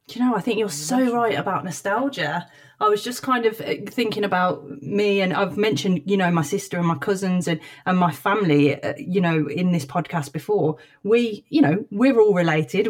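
A woman's voice: mid-range voice, normal speed. Chatty woman podcast co-host. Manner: chatty, hesitant fillers. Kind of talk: casual podcast chat.

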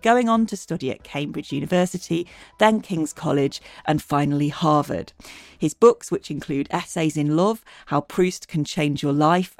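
A woman hosts a podcast interview in a dry studio sound, her voice sitting on the low side. She reads her introduction and then asks her questions thoughtfully. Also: a little mellow, dry recording. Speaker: podcast host.

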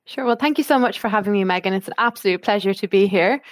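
A woman's voice: medium pitch, fast, no room sound. A woman in her thirties with an Irish accent, explaining her work as a guest in an interview, chatty and full of fillers. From Ireland. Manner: chatty, with frequent fillers and restarts. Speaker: guest, medical marketing manager. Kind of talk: conversational interview.

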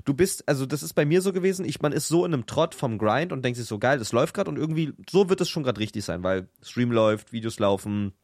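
Insistent young man, fast and dry. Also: medium pitch, lively intonation, darkish tone, dry recording. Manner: insistent, argumentative, repeats his point. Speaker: podcast co-host. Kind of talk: casual banter between friends.

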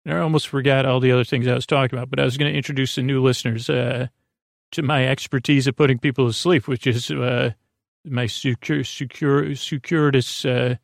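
A man with a creaky voice hosting a bedtime podcast, meandering gently and hesitantly, often interrupting himself in a soothing way. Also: medium pitch, fairly even intonation, brisk, no room sound, studio quality. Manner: soothing, gentle, soft-spoken. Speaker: podcast host. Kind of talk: rambling bedtime podcast monologue.

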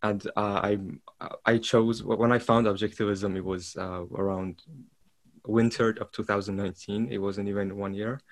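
A man, speaking as a podcast guest. He talks haltingly and keeps restarting as he searches for words. His voice sits low and stays fairly flat. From Iran. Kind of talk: casual interview talk.

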